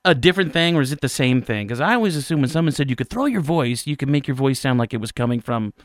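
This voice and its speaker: A man's voice: medium pitch, animated, fast, no room sound, clean studio sound. A man hosting a radio interview, asking friendly questions and laughing often. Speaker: radio host.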